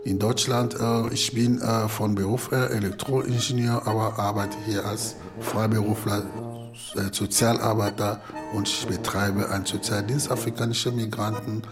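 Ein etwa fünfzigjähriger Mann aus dem Kongo erzählt mit kongolesischem Akzent auf Deutsch als Zweitsprache, zögernd und nach Worten suchend. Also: male voice, lowish voice, flat delivery, normal speed, over music. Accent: Congolese. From Congo. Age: around 50. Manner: hesitant, searching for words. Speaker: interviewee, social worker. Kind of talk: personal account in interview.

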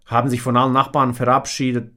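A man voicing a gruff, irritable older male neighbour in an audiobook. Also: mid-range voice, completely flat delivery, fast, sharp tone, close to an everyday voice. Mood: gruff, matter-of-fact.

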